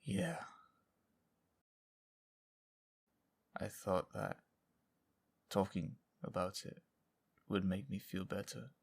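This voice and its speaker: An actor playing a haunted, loyal soldier who survived captivity, speaking in a halting, confessional way in an audio drama. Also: male voice, low voice, vocal fry, breathy edge, exaggerated voice. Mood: anguished.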